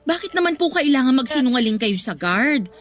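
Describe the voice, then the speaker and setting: A woman's voice: high-pitched, very animated, fairly quick. A young woman playing a polite, uneasy office worker in a radio drama. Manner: polite, uses respectful po.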